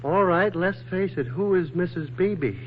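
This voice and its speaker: An actor playing a groggy, hungover, flirtatious wisecracker with a hesitant, pleading manner in 1940s radio style. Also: male voice, high-pitched, a little slow. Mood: bemused. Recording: tinny, noisy 1940s radio recording.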